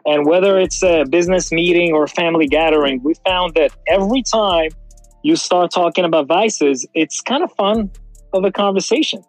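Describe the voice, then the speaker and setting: A man from Morocco whose first language is French, telling his story as an interview guest in a halting, conversational way with pauses mid-phrase. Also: sits high, no room sound, soft background music, low-quality recording. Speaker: interview guest, wine brand owner.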